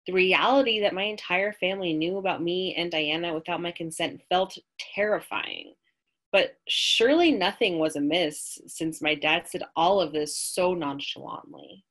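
A woman with an American accent reads her personal essay aloud from a small room at home, her voice sitting low and unhurried. She lingers on key phrases and slows for emphasis, picked up by a plain webcam mic on a video call. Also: fairly bright tone. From United States. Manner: measured, reflective reading with slowed emphasis. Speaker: writer reading her own work.

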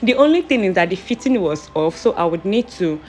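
A woman's voice: mid-range voice, sing-song, brisk, a little mellow. A young woman with a Nigerian accent, narrating her clothing haul in a chatty, playful, self-amused way. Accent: Nigerian English. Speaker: vlogger.

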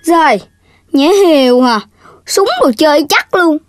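Greedy little piglet, very high childlike voice, teasing, blunt, cartoon. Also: animated, measured pace, slight background noise. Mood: grumbling. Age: child character. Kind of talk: Vietnamese children's cartoon dubbing.

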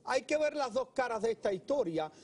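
A man's voice: very high voice, animated, fairly quick, husky. A man in his fifties hosting and discussing entertainment news in a TV studio. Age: 50s. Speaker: TV show host.

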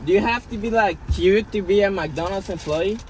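Young adult male, highish pitch, teasing slang, casual prankster chat, in-car recording, faint noise. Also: normal speed, darkish tone. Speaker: prankster at drive-thru windows. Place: inside a car at drive-thrus.

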